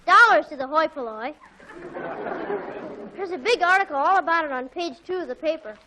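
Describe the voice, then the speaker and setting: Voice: high voice, animated, moderate pace, crowd noise. A performer playing a cheeky, self-important young boy, the slangy kid brother of a 1940s radio comedy. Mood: cocky. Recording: noisy 1940s radio broadcast.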